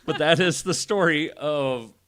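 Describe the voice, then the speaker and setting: A man's voice: on the high side, a little slow, fairly bright tone, slight background noise. A man on a podcast panel telling a story in a casual, joking, profane way with banter.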